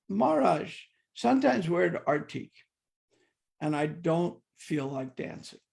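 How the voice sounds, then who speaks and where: An older man with a heavily gravelly, creaky voice and a fairly high pitch gives a devotional class. He talks conversationally, jokes with the listeners and stacks up repeated "and, and" restarts as he tells stories. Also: darkish tone. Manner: conversational, humorous, questions the audience. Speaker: class speaker.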